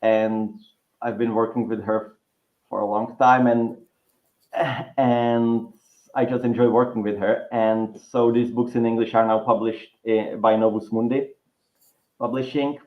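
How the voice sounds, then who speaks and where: A man's voice: medium pitch, level tone, a little slow. Czech-accented man in a roomy room. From Czech Republic. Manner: rambling, many restarts and fillers. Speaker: guest author and writing teacher. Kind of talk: relaxed interview conversation. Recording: roomy, dull lo-fi sound.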